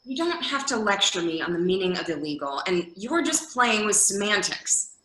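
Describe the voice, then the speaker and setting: A woman's voice: mid-range voice, big pitch swings, normal speed, pushed and bright, a little hiss or hum. A woman acting a worried, practical adult daughter in a readers theater drama, pleading and insisting in exasperation. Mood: exasperated. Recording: roomy, echoey video call audio.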